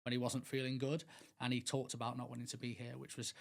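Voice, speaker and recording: A man's voice: medium pitch, completely flat delivery, fast, creaky, a crowd in the background. A middle-aged man from England, speaking earnestly as a podcast guest, rambling with many restarts and fillers. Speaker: guest, mental health speaker. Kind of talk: casual podcast interview.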